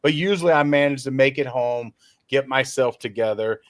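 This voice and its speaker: A man's voice: mid-range voice, moderate pace, no room sound. Dry-voiced man with sarcastic humor. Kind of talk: casual podcast chat.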